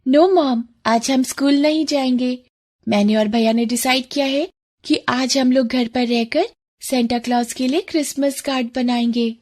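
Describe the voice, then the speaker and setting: A woman voicing a spoiled, excitable rich little girl, childlike and self-assured, in a children's cartoon. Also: high-pitched, measured pace. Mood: excited.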